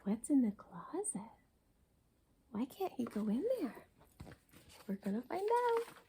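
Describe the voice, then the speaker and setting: A woman reading a children's storybook for a library story time, with expressive, deliberate and dramatic phrasing. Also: on the high side, a little hiss or hum.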